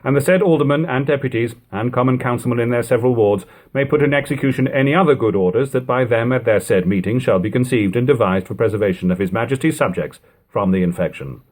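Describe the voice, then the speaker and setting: A man reading an old book aloud in a measured, formal manner, in a lo-fi home recording. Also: medium pitch, darkish tone. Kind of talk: audiobook reading of an old text with brief informal asides.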